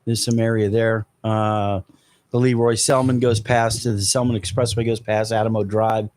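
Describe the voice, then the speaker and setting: A man's voice: lowish voice, flat delivery, moderate pace, darkish tone. A man producing a talk radio show, chiming in casually to explain sports news.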